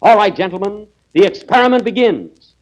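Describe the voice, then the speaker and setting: A man's voice: high-pitched, animated, normal speed, low-quality recording. A man playing a fussy, pompous inventor in a 1930s radio comedy, theatrical and easily exasperated. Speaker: comedian host.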